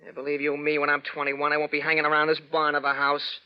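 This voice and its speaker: An actor playing a bratty, sneering youth in 1940s radio drama, with a cocky, taunting manner. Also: male voice, on the high side, monotone, fast, clean tone, close to an everyday voice. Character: bratty, sneering youth, amateur snoop still under twenty-one. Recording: muffled vintage radio recording.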